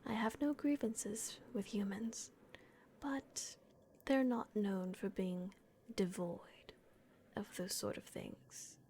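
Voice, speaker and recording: Woman whispering as a gentle vampire guardian. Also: medium pitch, slow, slight background noise, lightly acted. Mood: tender, caring. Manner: soft unvoiced whisper, hesitant. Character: gentle, protective female vampire caretaker. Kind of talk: whispered ASMR roleplay.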